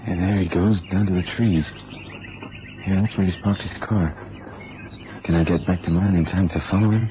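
Through a noisy, muffled old radio recording, a man plays a restless streetwise investigator. His low voice is colloquial, like someone keeping watch and itching for action. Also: steady tone, moderate pace, lightly acted. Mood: tense, watchful. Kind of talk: radio drama acting.

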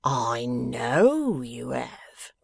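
A man's voice: medium pitch, very animated, very slow, no room sound, full character voice. A man voicing a coarse, sly lock-keeper from a novel, growling with an insolent manner. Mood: mocking, confident. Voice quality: growling, gruff. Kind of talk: dramatised novel reading.

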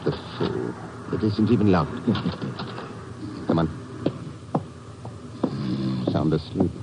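An actor in a 1950s radio drama playing a sly, fatalistic villainous police inspector, dry, measured and formal. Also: male voice, low-pitched, expressive, creaky, full character voice. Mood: curt, scornful. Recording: dull, very noisy old radio recording.

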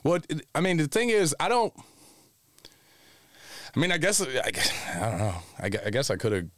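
Man, podcast co-host, lively swooping pitch, hesitant, laughs easily. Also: normal speed, dry recording. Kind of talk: casual podcast chat.

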